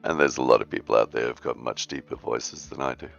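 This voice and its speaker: Very deep gravelly man on a dull line. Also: fast, soft background music. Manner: calm, explains things plainly. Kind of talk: casual chat.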